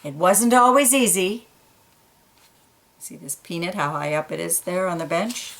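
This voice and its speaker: A woman reading a children's picture book aloud, pausing for quiet asides about the pictures. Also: fairly low pitch. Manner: slow, gentle, pointing out pictures in quiet asides.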